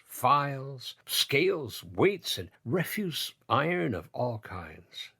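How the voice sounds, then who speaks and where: A man gives a theatrical, literary narration in a low, husky voice. He is deliberate and dramatic, moving slowly with wide swings of pitch. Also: fairly bright tone.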